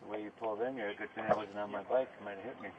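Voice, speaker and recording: A man under arrest talking casually with police in a halting way, often repeating his phrases. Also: fairly low pitch, steady tone. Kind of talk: casual conversation during an arrest. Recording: noisy, tinny police body-camera or vehicle audio.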